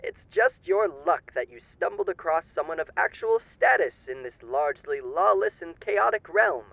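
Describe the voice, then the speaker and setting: A man's voice: fairly high pitch, big pitch swings, moderate pace, gravelly, a bit bright, no echo, heavily acted, poor audio. A man playing a suave demon overlord with theatrical, old-fashioned genteel diction in an audio roleplay. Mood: smug, courteous. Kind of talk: ASMR character roleplay.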